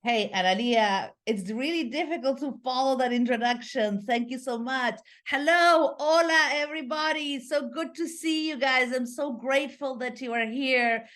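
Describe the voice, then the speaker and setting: A woman in her fifties from Argentina, presenting a live online webinar over a video call and greeting the audience warmly. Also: high-pitched, moderate pace, hardly any pauses. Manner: warm, grateful greeting.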